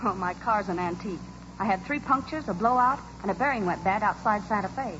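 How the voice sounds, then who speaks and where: An actress playing an earnest, trusting young farm woman in a radio play, wholesome and gentle in manner. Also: mid-range voice, a little fast, natural voice. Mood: earnest, nostalgic. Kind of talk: 1940s radio acting. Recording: dull old radio recording, faint noise.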